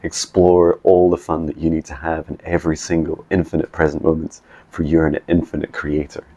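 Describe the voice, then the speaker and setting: A man, a psychic mentor, giving soft meditation messages and rambling video diary updates full of ums. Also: extremely low pitch, level tone, breathy edge, muffled recording. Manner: soft, slow affirmations; chatty with many ums in updates.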